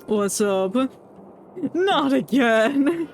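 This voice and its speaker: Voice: medium pitch, animated, extremely slow, faint music behind. A streamer giving casual, jokey commentary over a game.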